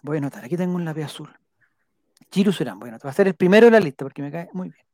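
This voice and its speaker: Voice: medium pitch, expressive, very fast, darkish tone, no echo, studio quality. A Chilean-accented live stream host chatting casually and playfully, reading out viewer comments and repeating names in a rambling way. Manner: rambling, repeats names while jotting them down; playful, joking.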